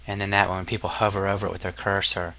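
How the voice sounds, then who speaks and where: A man narrating a casual software tutorial as an instructor, explaining step by step in an informal, somewhat hesitant way. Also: sits low, little pitch movement, racing, vocal fry.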